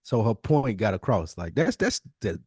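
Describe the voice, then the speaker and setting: Male podcast co-host, slangy and opinionated, very expressive intonation, dry sound with little room. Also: lowish voice, normal speed, slightly rough, no room sound. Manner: blunt, slangy, swears. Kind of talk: casual opinionated chat.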